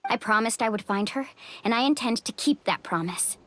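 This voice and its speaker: A woman's voice: fairly high pitch, fairly quick, pushed and bright, soft background music. A woman voicing an earnest, determined, upbeat young heroine in a video game.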